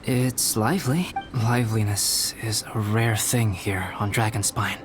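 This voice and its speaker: A man playing a calm, refined young scholar in an anime video game, with a measured, formal manner. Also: on the low side, over music, close to an everyday voice. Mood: calm, pleased.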